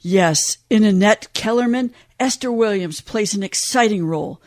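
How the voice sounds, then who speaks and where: Vintage Hollywood radio commentator, female actor: polished, gushing promo delivery, medium pitch, close dry sound. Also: moderate pace, dry recording, natural voice.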